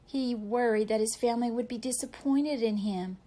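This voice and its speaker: A woman reading a picture book aloud to young children with deliberate, dramatic emphasis. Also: fairly high pitch, dark tone.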